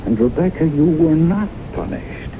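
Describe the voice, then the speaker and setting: An actor playing an earnest, persuasive doctor and devoted lover, with emphatic, deliberate phrasing in 1970s radio drama style. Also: male voice, fairly high pitch, lively intonation, natural voice. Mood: probing. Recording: noisy, muffled old radio broadcast recording.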